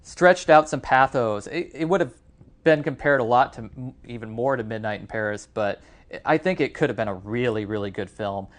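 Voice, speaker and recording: A man's voice: mid-range voice, moderate pace. A man co-hosting a podcast, giving his opinions on films in a casual discussion. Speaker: podcast co-host reviewing films.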